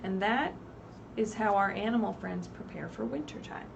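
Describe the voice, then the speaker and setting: A woman reading aloud expressively at a children's story time, pausing to put simple questions to her young listeners. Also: mid-range voice, vocal fry, muffled recording. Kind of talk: animated read-aloud with asides to young children. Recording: distant mic, faint background noise, livestream.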